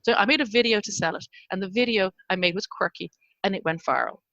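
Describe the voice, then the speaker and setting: A woman's voice: mid-range voice, fast talker, gravelly, fairly bright tone, no echo, highs cut off. An Irish woman in her fifties, a guest telling her story in a casual interview and laughing often. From Ireland. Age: 50s.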